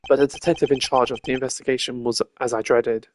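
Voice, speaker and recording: Stern, proud young male prosecutor for a game played by a man; formal, mid-pitched, brisk. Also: level tone, a little hiss or hum, natural voice. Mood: weary, reflective. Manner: formal, measured, stiff. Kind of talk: voiced reading of video game dialogue. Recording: game music behind the voice. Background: game music.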